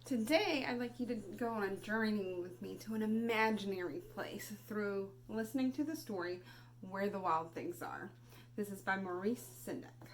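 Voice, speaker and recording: A woman reading a children's story aloud, dramatically moving between hushed and raised delivery. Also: mid-range voice, moderate pace. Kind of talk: expressive children's read-aloud. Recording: noticeable background noise.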